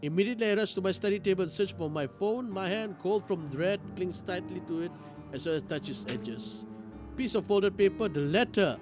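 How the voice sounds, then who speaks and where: A man with a high voice streams a visual novel. He reads the text aloud dramatically and reacts in a casual way. Guitar music from the game plays clearly behind him.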